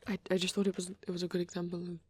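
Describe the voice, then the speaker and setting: A man playing a shy young male vampire in an ASMR roleplay, rambling hesitantly and apologetically in a soft, breathy voice that often drops to a whisper. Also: high voice, completely flat delivery, no room sound. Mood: flustered, earnest. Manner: hesitant, halting, rambling, self-correcting.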